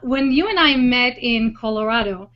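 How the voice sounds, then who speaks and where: Woman, high voice, enthusiastic web show host, dull lo-fi call audio. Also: lively intonation, moderate pace. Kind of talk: enthusiastic hosted introduction and interview. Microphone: online video hangout call.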